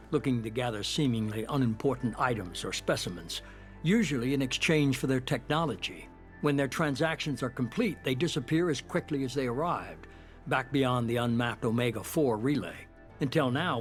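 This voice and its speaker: An actor playing a shadowy, calculating middle-aged leader in a sci-fi game, speaking with measured, composed authority. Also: male voice, mid-range voice, lightly acted. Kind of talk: cinematic sci-fi game dialogue.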